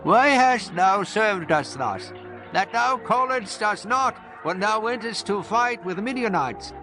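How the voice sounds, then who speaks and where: An actor playing a defiant, proud ancient warrior in a dramatized scripture reading, loud and declamatory. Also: male voice, sits high, expressive, measured pace, over music. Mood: indignant.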